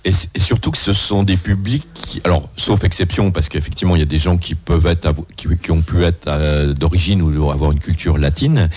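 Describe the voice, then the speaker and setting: Brief: older man, radio interviewer, very deep voice, hesitant delivery. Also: fairly quick, few pauses, darkish tone. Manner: hesitant, many restarts and fillers. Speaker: radio host.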